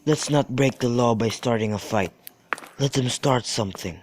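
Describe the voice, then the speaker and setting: A man's voice: medium pitch, moderate pace, slight background noise, natural voice. A man playing a bragging, crude young servant itching for a brawl in an amateur student radio drama. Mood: provoking.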